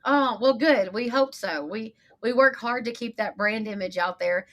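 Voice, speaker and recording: A woman's voice: a little high, moderate pace, dull audio. A middle-aged woman from East Texas with a matching accent, a podcast guest chatting casually and laughing between phrases. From East Texas, USA. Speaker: podcast guest, marketing agency leader. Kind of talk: casual conversational interview.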